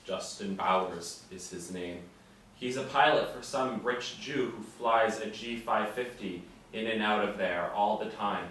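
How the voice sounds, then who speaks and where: A man's voice: on the low side, a little slow, a bit bright. A man playing a hard, terse spy in a screenplay read, speaking bluntly. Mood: matter-of-fact. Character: terse, hard male spy posing as a militant. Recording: very echoey room, distant mic, faint noise.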